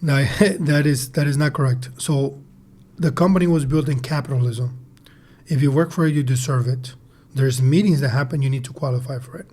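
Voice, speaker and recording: A man's voice: mid-range voice, normal speed, mellow. A man in his thirties answering conversationally as an interview guest, emphatic and repeating words for stress. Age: 30s. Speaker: podcast guest, sales team leader and entrepreneur.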